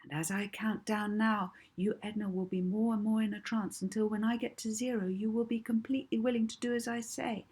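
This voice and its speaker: A woman voicing a young girl hypnotist in an audiobook, speaking in a deliberate, coaxing and commanding way. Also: mid-range voice, level tone, a little mellow, husky, voice pushed up, lightly acted. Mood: calm, hypnotic. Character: young orphan girl hypnotist, soothing, bold, bossy. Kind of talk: audiobook character voice.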